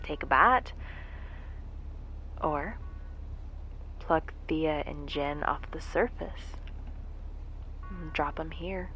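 A woman's voice: sits low, very slow, pauses often, pushed and bright, soft background music, full character voice, rough recording. A woman acting a wistful, longing character in an audio drama monologue, with a folksy, drawling, musing manner. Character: wistful, longing woman yearning for loved ones.

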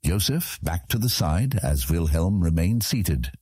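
A man's voice: deep voice, animated, measured pace, darkish tone, breathy edge, no echo, clean studio sound. A man narrating a fantasy audiobook from a script as the storyteller.

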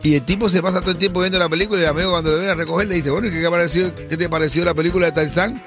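A man's voice: sits high, fairly even intonation, rapid-fire. A man co-hosting a radio show with casual, slangy, teasing comic talk, often repeating his phrases. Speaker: radio show co-host and joke teller. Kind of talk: casual comic banter and joke telling. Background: background music.

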